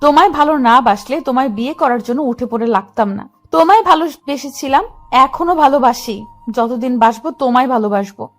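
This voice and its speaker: A woman's voice: high-pitched, expressive, brisk. A woman playing a hurt, devoted young wife and mother in a dramatised audio love story. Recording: music under the voice.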